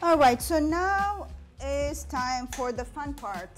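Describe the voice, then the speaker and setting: A woman's voice: high-pitched, animated, slow pace, faint music behind. A woman in her forties from Morocco, hosting a cooking show and explaining each step as she cooks in a home kitchen on a lapel mic. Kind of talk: cooking demonstration.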